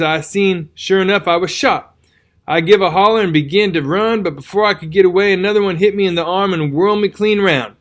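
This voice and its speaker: A man's voice: high-pitched, fairly bright tone, pitched above the performer's own voice. A man doing a rambling wounded country soldier. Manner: folksy, rambling, garrulous. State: weak from a wound, slurring. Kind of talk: audiobook character reading.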